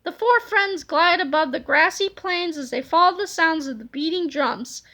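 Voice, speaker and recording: A woman narrating a children's storybook aloud in an expressive read-aloud style. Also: extremely high pitch, moderate pace.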